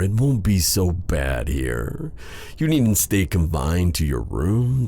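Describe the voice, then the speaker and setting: A man playing a possessive, silky dark sorcerer in a theatrical fantasy ASMR roleplay, drawing out courtly endearments with quiet menace. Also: deep voice, slight background noise, full character voice. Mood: smooth, menacing.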